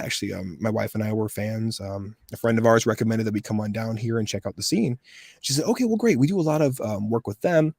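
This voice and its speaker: A man's voice: medium pitch, vocal fry, breathy edge, no echo, studio quality. A man in his thirties, a voice actor telling stories in a casual interview and acting out the voices he quotes. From USA. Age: 30s. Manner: animated storyteller, acts out quoted voices. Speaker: voice actor guest.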